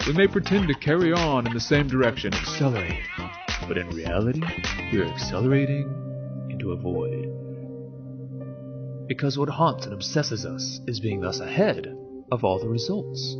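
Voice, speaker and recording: A man's voice: medium pitch, expressive, moderate pace, long pauses, highs cut off. A man hosting an informal video essay, explaining and dramatically reading philosophy with emphatic, theatrical delivery. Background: music.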